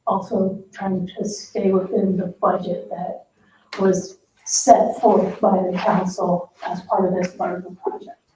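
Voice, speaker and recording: A woman's voice: mid-range voice, little pitch movement, measured pace, dark tone. An American woman explaining things hesitantly as a town staff member presenting at a board meeting. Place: indoor municipal meeting room. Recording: echoey room, distant dull microphone, faint noise.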